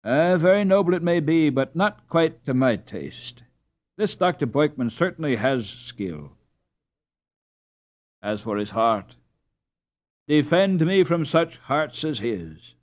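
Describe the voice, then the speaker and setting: A man's voice: a little high, very animated, slow pace, pauses often, pitched above the performer's own voice. A man voicing a proud, frank, well-to-do teenage boy in a storybook, speaking with emphasis. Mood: scornful.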